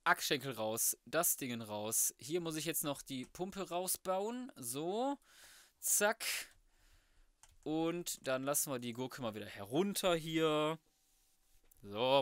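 Male let's player, voice a bit on the high side, offhand and colloquial, recorded very dry. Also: sing-song, a little slow, pushed and bright, no echo. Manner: colloquial, flippant slangy muttering to himself. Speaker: gaming video host. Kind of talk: casual let's play commentary. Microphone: close microphone, voice-over.

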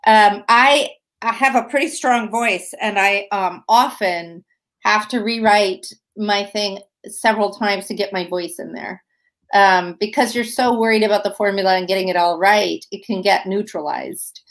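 A woman's voice: medium pitch, moderate pace, fairly bright tone. A middle-aged woman leading an online writing workshop, talking in a chatty way with many fillers and restarts, over a webcam. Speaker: writing instructor. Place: room at home. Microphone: webcam video call.

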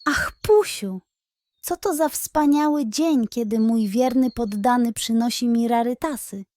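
A greedy little boss of a pug from a children's storybook, played by a woman. The voice is pitched high and full of self-importance, sly and theatrical. It sounds close and dry. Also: measured pace, a little mellow, no room sound, natural voice. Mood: pompous, delighted. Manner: pompous and nonchalant, turning plaintive.

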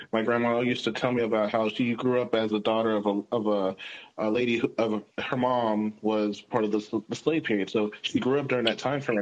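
A man's voice: mid-range voice, muffled recording. A man in his thirties from Houston, hosting a casual call discussion, speaking earnestly and restarting often. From Houston, United States. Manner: earnest, hesitant, many restarts. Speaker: podcast host.

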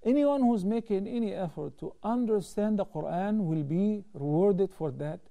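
Guy in his 30s on a tv call-in show explaining religious rulings, fairly high voice, really soft dark tone. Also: animated, vocal fry. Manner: measured, explanatory, gestures while talking. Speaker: Islamic scholar answering viewer questions. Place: TV studio, seated at desk. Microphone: lapel mic.

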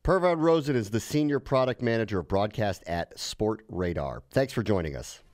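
A man's voice: on the low side, animated, moderate pace, a little mellow. A man hosting a podcast, introducing guests and reading sponsor spots in an upbeat way. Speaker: podcast host.

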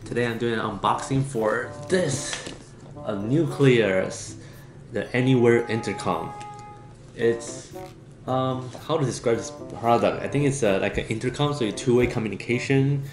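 A man's voice: mid-range voice, moderate pace. A man in his thirties doing a casual, unscripted gadget unboxing as a vlogger. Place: small home dining room, indoors. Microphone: camera on the table or at arm's length. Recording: echoey room, music in the background.

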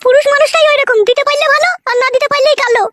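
A short-tempered cartoon wife scolds. The voice is piercingly high and extremely quick, curt and threatening. The track is clearly noisy, with clicks. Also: steady tone, a bit bright. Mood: aggrieved scolding. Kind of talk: exaggerated comic cartoon dialogue.

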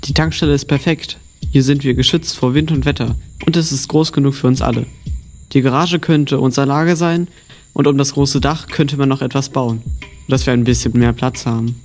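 A man's voice: mid-range voice, steady tone, moderate pace, darkish tone, soft background music, close to an everyday voice. A male performer playing a practical, hopeful teenage boy survivor in an amateur audio drama. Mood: hopeful planning.

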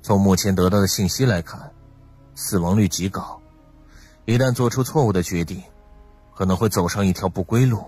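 A man playing a calm, calculating young man with a measured, courteous manner in an audiobook drama. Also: low voice, husky, faint music behind. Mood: pensive, grave.

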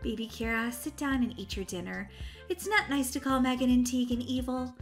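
A woman's voice: high voice, brisk, faint music behind. A woman playing a fussy, exasperated young babysitter, scolding and coaxing in an exaggerated way for a children's game roleplay.

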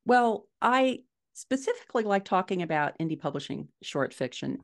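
A middle-aged woman answering interview questions conversationally, telling stories in an animated way on a video call from a room at home. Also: low voice. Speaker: podcast guest, indie author. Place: small furnished room at home, indoors.

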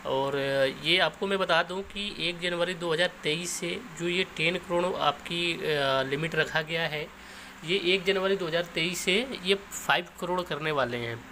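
Male explainer host, slightly high pitch, informal step-by-step delivery, faint background noise. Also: a little slow, pushed and bright.

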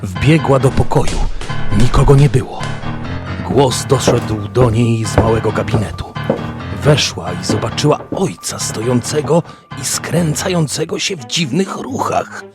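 A man narrating a scripted fantasy story in a measured, dramatic way. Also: medium pitch, lively intonation. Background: music bed.

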